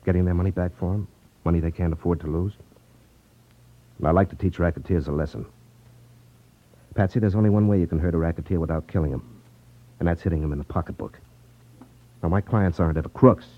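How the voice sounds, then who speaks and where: An actor playing a confident, wry detective, glib and unflappable, in a 1950s radio drama. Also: male voice, low voice, quick pace, pauses often. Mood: wry, earnest. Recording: dull, dry 1950s radio recording.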